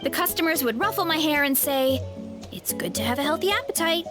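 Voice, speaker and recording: A cheerful young woman with a big appetite in a video game, friendly and quick to laugh. Her voice sits high and moves in lively swings. Faint game music hums behind her. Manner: bright and friendly, laughs easily. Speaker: game character showing guests an orchard. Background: soft game music.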